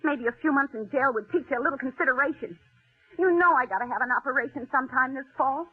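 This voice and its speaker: An actress playing a bitter, nagging working wife in a 1940s radio drama, scolding with sharp sarcasm. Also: female voice, high-pitched, fast talker, breathy edge. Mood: cold, suspicious. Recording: tinny band-limited old radio broadcast.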